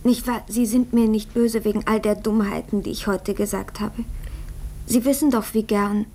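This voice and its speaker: An actress playing an eager, girlish young gentlewoman in a German radio drama, speaking with pleading, playful politeness. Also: medium pitch, fairly even intonation, moderate pace, slight background noise, natural voice. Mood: anxious, pleading. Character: eager, girlish young invalid woman of genteel family.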